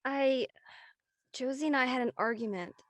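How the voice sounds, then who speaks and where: A woman plays a studious high school girl who is stressed and easily exasperated. Her voice is fairly high and closely recorded, serious and testy, as in an audio drama. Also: moderate pace, no room sound, studio quality, natural voice. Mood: sheepish. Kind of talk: online dramatic table read.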